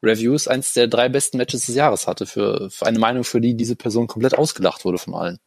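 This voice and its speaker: A man's voice: mid-range voice, brisk, gravelly, a little hiss or hum. A man co-hosting a casual podcast chat, telling stories with dry, ironic humor. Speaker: podcast co-host.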